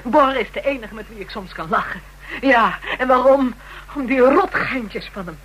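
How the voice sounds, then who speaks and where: Radio drama role: streetwise, embittered woman, husky and rough-edged, colloquial, taunting and defiant. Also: fairly high pitch, lively intonation, normal speed, a bit bright, poor audio. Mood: bitter, aggrieved. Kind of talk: Dutch radio drama acting.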